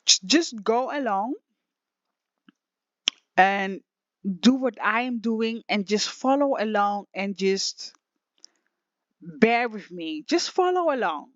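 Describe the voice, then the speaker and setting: A woman with a slightly raspy voice talks slowly through a casual software lesson, rambling and restarting often. The recording is dull and lo-fi, with a dry, close sound. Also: mid-range voice, lively intonation, no room sound. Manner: rambling, emotional, many restarts and fillers. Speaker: online music software teacher. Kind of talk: casual screen-share tutorial.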